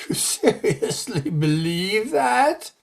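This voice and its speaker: Slow, ominous, fearful clergyman played by a man. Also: high voice, sing-song, creaky, exaggerated voice. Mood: indignant. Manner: solemn, ominous, melodramatic. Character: grave, fearful clergyman warning of evil. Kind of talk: old-time radio horror drama acting.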